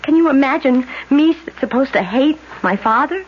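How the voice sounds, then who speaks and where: An American actress playing a troubled young woman in a 1970s radio drama, halting and emotional in her delivery. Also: sits high, animated. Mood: agitated, weary. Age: young adult. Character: troubled, overwrought young woman plagued by guilt and headaches. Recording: dull, noisy old radio broadcast.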